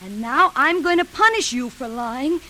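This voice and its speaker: An actress playing a deranged, vengeful sister, childlike and menacing, halting and repetitive, in 1940s radio thriller style. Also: female voice, high-pitched, animated, bright, forceful tone. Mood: punishing, menacing. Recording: vintage radio recording with hiss.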